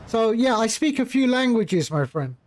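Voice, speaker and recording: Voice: medium pitch, sing-song, normal speed. A flight simulator streamer giving casual live commentary, patiently explaining and repeating phrases while chatting with viewers.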